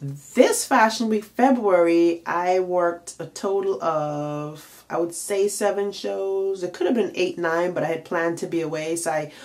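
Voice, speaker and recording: A woman's voice: on the low side, a little slow, few pauses, a little mellow. Tired, congested woman giving tips. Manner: chatty, frequent fillers, emphatic rhetorical questions. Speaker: makeup and nail artist vlogger. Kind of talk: casual advice vlog to camera.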